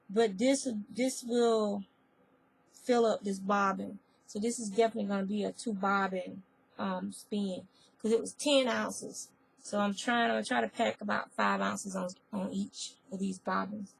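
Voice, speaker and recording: A woman with a voice worn hoarse by a cold, chatting casually about her crafts. Her tone is raspy and gravelly throughout. She rambles and circles back to correct herself. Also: mid-range voice, poor audio. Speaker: fiber arts vlogger. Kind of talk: casual chat.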